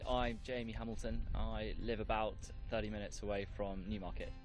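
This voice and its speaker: A young man, a trainee at a horse stud, answering interview questions in a relaxed, hesitant way. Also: fairly low pitch, fairly quick. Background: background music.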